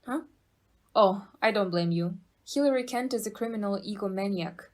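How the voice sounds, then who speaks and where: A woman playing an excitable, gruff police inspector in an audio play, blustering and commanding. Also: medium pitch, moderate pace, close to an everyday voice. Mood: explaining.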